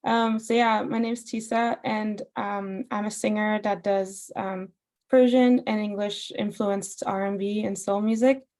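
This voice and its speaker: A woman's voice: mid-range voice, fairly even intonation, unhurried, darkish tone. Chatty young woman, Farsi native, laughing. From Austria. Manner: chatty, laughs often, many "like" and "um". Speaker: singer, podcast guest. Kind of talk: casual interview chat.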